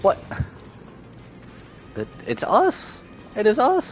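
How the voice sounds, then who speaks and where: A man livestreaming a game with casual, slangy, excitable commentary and reactions. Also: high voice, takes it slowly, muffled recording. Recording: game music behind the voice.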